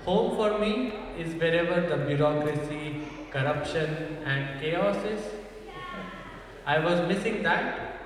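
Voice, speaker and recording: Young male Indian-accented comic, slightly high voice, dry crowd teasing, heard from far off in a reverberant hall. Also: slow pace. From North India. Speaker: stand-up comedian. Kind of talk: stand-up comedy with audience banter. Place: echoing church hall at a festival. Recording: distant mic, very echoey hall, audience noise. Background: audience.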